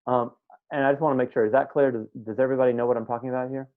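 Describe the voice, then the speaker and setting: A man's voice: mid-range voice, steady tone, very fast. A man teaching a meditation class in a casual, conversational way, often checking that his students follow. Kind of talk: live online class teaching. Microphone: video call. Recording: tinny phone-like line.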